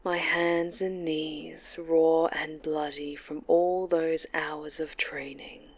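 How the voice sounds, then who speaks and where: An actress plays a possessive superhero villainess in an intimate horror ASMR roleplay. Her voice sits a little low and moves very slowly, sliding into breathy whispers. She taunts with a mock sweetness that stays menacing. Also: little pitch movement, dull audio, exaggerated voice. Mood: brooding.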